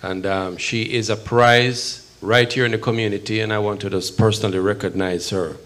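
Middle aged jamaican guy speaking at a podium, kinda deep voice, measured n emphatic with pauses. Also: steady tone, fairly bright tone. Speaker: consul general, guest speaker at podium. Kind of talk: public speech at a community event. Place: event hall, at a podium before a seated audience. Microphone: podium microphone.

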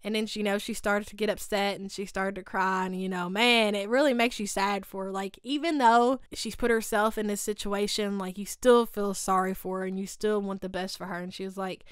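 A woman's voice: medium pitch, steady tone, a little fast, hardly any pauses, clear, resonant tone, dry recording, studio quality. A woman, recapping a TV show in a casual, gossipy way on her channel. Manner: chatty and gossipy, lots of "like" and "y'all". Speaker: YouTube recap host. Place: home studio. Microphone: desk mic beside her.

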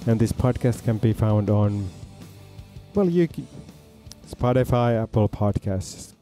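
A man's voice: lowish voice, animated, a little slow, mellow, faint music behind. A Finnish man hosting a casual podcast over a video call, friendly and upbeat. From Finland. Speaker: podcast host. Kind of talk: casual chat.

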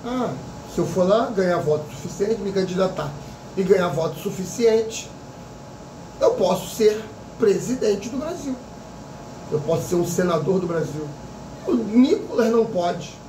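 A man's voice: high voice, lively intonation, measured pace, mellow, roomy sound, far from the mic, a little hiss or hum, poor audio. A man in his fifties, a psychic vlogger giving an opinionated casual monologue full of repetition and rhetorical questions. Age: 50s.

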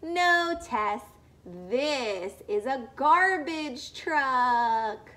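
A woman reading a picture book as a patient boy who corrects firmly, with deliberate emphasis. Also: high voice, animated, bright, forceful tone, heavily acted. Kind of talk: children's picture book read-aloud.

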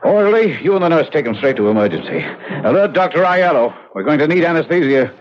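An actor playing a brisk, commanding emergency-room doctor in a 1970s radio drama, giving urgent, clipped orders. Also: male voice, on the high side, sing-song. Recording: muffled, noisy 1970s radio recording.

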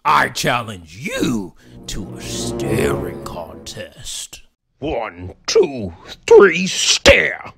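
Gravelly, slow, menacing troll. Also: male voice, mid-range voice, huge pitch swings, a bit bright, soft background music, exaggerated voice. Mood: gloating. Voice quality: gravelly, growly. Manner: slow, drawn-out, blustering. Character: big grumpy menacing male bridge troll, boastful and gloating. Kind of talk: children's cartoon storytelling.

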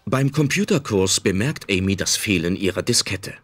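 A man's voice: on the low side, lively intonation, normal speed, soft background music, lightly acted. A man narrating a scripted anime audio drama as its storyteller.

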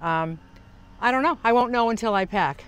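Clear-voiced older woman vlogger. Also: on the high side, lively intonation, moderate pace, a bit bright. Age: older, senior. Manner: chatty, hands-on, explaining while working. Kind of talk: casual how-to van tour. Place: outdoors at the open side door of a camper van. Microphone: small clip-on lavalier mic.

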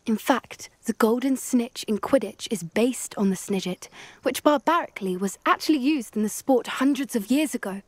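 A woman's voice: on the high side, normal speed, no room sound, natural voice. A young woman with a British accent playing an earnest, articulate teenage witch who protects magical creatures in a video game. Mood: earnest, informative. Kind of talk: video game cutscene acting. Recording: music underneath. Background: music.

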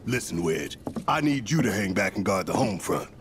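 A man playing a big, gruff, hot-tempered rebel leader in a video game, gravelly and commanding, with slangy speech. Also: sits low, very animated, normal speed, noisy background. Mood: firm. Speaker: resistance cell leader. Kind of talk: cinematic video game acting.

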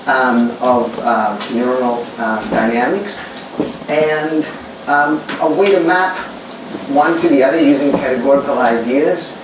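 A man from Greece with a Greek accent, giving a thoughtful academic lecture with many pauses and fillers, recorded in a large lecture hall from a distant mic. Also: medium pitch. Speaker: workshop speaker. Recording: echoey hall recorded from far off, noisy.

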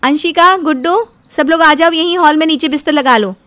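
Woman acting a high-voiced, sassy young daughter-in-law. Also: a little fast, a bit bright, no echo. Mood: breezy, cheeky. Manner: sassy, complaining. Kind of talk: animated Hindi family story dialogue.

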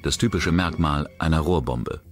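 Deep-voiced man narrating true crime. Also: normal speed. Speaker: documentary narrator. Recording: music bed behind the voice. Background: background music.

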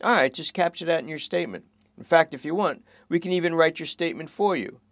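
A man's voice: fairly high pitch, fast, no echo, voice pushed up, close to an everyday voice. A man voicing a tired, dryly coaxing police detective during a late-night interrogation. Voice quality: dry, coaxing. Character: weary, wry middle-aged male police detective.

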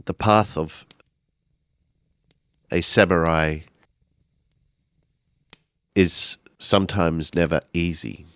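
Deep-voiced man, dry close sound, halting and deliberate storytelling at a game table. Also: fairly even intonation, a bit bright, clean tone, dry recording. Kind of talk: improvised narration of a tabletop game.

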